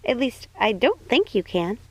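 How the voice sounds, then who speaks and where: A woman voicing a gentle, sweet young woman in an audiobook, speaking in an earnest, reassuring manner. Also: on the high side, very animated, normal speed, dry recording, pitched above the performer's own voice, rough recording. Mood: eager, earnest. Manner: soft-hearted, earnest, reassuring. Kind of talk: audiobook character voice.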